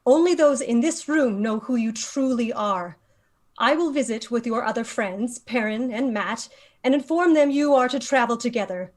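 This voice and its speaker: A woman playing a stern, commanding leader of a mystic order in a dramatic screenplay table read, authoritative and measured. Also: fairly high pitch, expressive, natural voice. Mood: coolly commanding.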